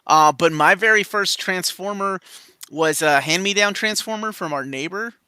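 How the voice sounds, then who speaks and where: Guy in his 40s from peru hosting a podcast, bright voice a bit high, casual storytelling. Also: normal speed, clean tone, no room sound. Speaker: podcast host. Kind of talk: casual chat.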